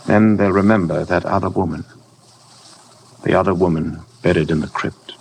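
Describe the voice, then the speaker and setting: Deep, gravelly, guilt-haunted husband. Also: male voice, steady tone, a little mellow, full character voice. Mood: despairing confession. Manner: grave, halting, dramatic delivery. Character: anguished husband confessing a killing, brooding and guilt-ridden. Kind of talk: 1950s radio drama acting. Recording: noisy vintage radio broadcast recording.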